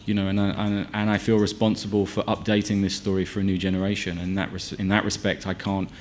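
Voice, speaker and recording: A man's voice: sits low, completely flat delivery, quick pace, darkish tone, slight background noise. A film director answering at a press conference, with many restarts and fillers as he gathers his thoughts.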